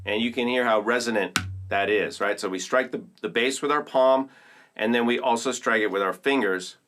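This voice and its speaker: A man's voice: medium pitch, normal speed, music in the background. A man from Oakland, California, hosting a percussion tutorial and explaining instruments to viewers in an upbeat, enthusiastic way. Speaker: percussion teacher and host. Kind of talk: casual demonstration tutorial.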